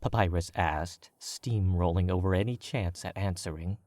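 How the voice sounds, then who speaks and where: A man narrating a fan fiction as an audiobook, in a measured, wry storytelling manner. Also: deep voice, a little mellow, no echo.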